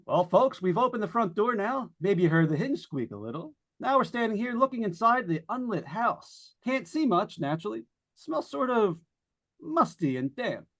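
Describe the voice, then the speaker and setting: A breezy, wisecracking announcer from an old-time radio drama played by an actor. The pitch swings widely in a folksy, jokey patter addressed to listeners at home. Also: sing-song, moderate pace, a bit bright. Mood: breezy, joking. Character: chatty, wisecracking radio announcer hosting a live ghost hunt. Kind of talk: 1940s radio drama acting.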